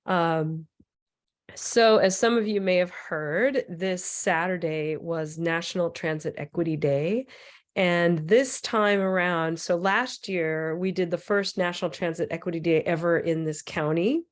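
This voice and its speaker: A woman speaks in a somewhat low, raspy voice, hoarse from a cold. She gives an informal presentation in a loose, rambling way with plenty of fillers and restarts. She is heard through a computer mic on a video call. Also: a little slow. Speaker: transit equity advocate presenter.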